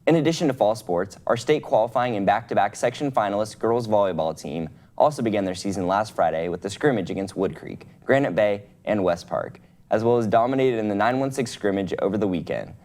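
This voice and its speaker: A man's voice: fairly low pitch, expressive, a little fast, darkish tone. A young man anchoring the sports segment of a student news broadcast, reading an upbeat script.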